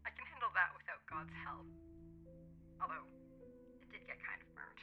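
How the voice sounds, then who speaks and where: A woman's voice: fairly low pitch, sing-song, normal speed, music in the background. A woman playing an affectionate, teasing middle-aged mother in a radio play, heard through a telephone filter. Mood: warm, teasing. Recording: thin, sharp telephone call sound.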